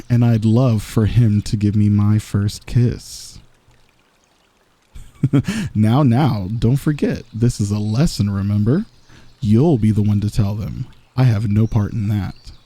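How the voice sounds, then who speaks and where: Man playing a smug, dark-voiced flirtatious villain. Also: on the low side, lightly acted. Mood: playful, smug. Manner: teasing, smug, drawling taunts. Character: smug, confident male villain teasing and flirting with a rival. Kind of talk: romantic ASMR roleplay, intimate scripted monologue.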